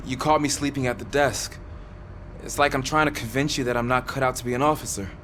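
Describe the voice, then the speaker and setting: An actor playing a dejected aspiring police officer in a video game, resigned and self-deprecating. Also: male voice, medium pitch, steady tone, fast talker, fairly bright tone, a little hiss or hum, close to an everyday voice. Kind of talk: video game cutscene acting.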